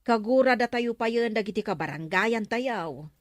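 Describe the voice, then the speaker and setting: A woman's voice: a little high, expressive, moderate pace, no room sound, clean studio sound, natural voice. A woman with an Ilocano accent acting an exasperated, earnest village official in a comedy drama. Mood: worried. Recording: music behind the dialogue.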